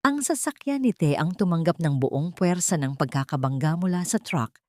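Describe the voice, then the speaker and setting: Female narrator for audio drama: lowish pitch, solemn and emotive delivery, dry close sound. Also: darkish tone, no echo. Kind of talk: dramatic scripted story narration.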